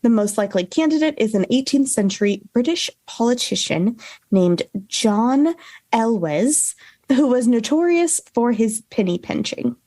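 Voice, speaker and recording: A woman's voice: fairly high pitch, big pitch swings, measured pace, dark tone, no room sound. A woman co-hosting a podcast, telling a researched story in a casual way. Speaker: podcast co-host. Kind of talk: storytelling from notes with casual banter.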